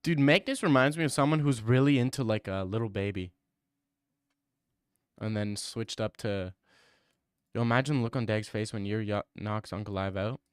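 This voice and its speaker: A man's voice: lowish voice, normal speed, long pauses, clear, resonant tone, dry recording, clean studio sound. A young man streaming and answering viewer comments in a casual, teasing way, full of mock bravado.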